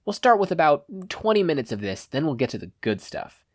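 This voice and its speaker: A man's voice: fairly high pitch, very animated, fairly quick, pitched above the performer's own voice. A man voicing a cocky, sleazy male gangster with a sneering, taunting delivery. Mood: cocky, menacing.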